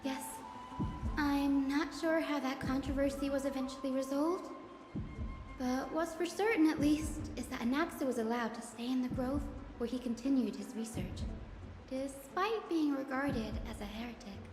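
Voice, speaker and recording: A high-voiced young woman played by an actress tells a story as a video game companion. She is articulate and measured. Her voice rings with echo and reverb, over music. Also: a little mellow, close to an everyday voice. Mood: thoughtful, confiding. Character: young woman companion recounting a heretical scholar's trial. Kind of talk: scripted game dialogue, storytelling narration. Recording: echoey reverb with music behind.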